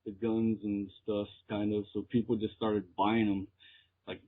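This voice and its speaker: A man's voice: lowish voice, flat delivery, a little slow. American man on a tinny phone line. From Texas, United States. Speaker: interviewed metal musician. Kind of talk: casual chat in an interview. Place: remote call.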